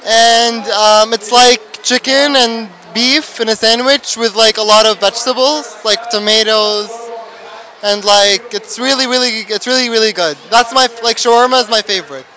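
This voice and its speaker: A man's voice: very high-pitched, fairly even intonation, normal speed, pushed and bright. A teenage boy at an outdoor festival, answering interview questions in a hesitant way, full of "like" fillers. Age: teens. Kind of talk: casual street interview.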